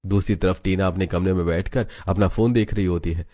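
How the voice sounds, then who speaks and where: Male storyteller, lower pitch, very mellow tone, scripted narration. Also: steady tone, fairly quick.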